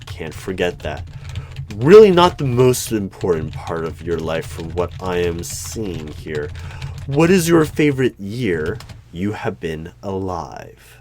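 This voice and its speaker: A man playing an eerie, probing hiring manager in a one-sided ASMR interview roleplay, speaking deliberately with pauses between questions. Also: deep voice, big pitch swings, darkish tone. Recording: background noise with keyboard typing and clicks. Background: computer keyboard typing.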